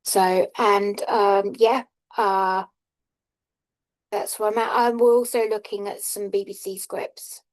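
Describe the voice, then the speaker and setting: A woman on a video call describing her screenplay research, hesitant and full of fillers, with a flat delivery. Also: mid-range voice, takes it slowly. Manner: hesitant, many fillers, self-deprecating laughs. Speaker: workshop participant. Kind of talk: casual chat in an online workshop.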